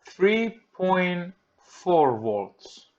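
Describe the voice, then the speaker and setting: A man with a Greek accent, speaking English as a second language, walks through a repair and thinks aloud in halting, simple sentences. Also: high-pitched, very animated, a little mellow, dull audio. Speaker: repair technician. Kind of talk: hands-on repair tutorial. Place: workbench.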